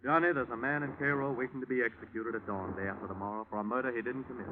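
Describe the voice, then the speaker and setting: A man's voice: medium pitch, very fast, natural voice. A man with an American accent playing an earnest, genial army chaplain turned pastor in a 1940s radio drama, speaking in a folksy, pleading way. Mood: earnest, urgent. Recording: muffled, noisy 1949 radio recording.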